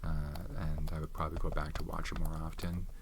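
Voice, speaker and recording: A soft-spoken man chatting unhurriedly about films, often trailing off to search for names and now and then dropping into a whisper. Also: a bass voice, flat delivery, brisk, vocal fry. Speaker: ASMR channel host. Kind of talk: soft-spoken ASMR movie chat. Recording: audible background noise with keyboard and tapping clicks. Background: tapping and typing clicks.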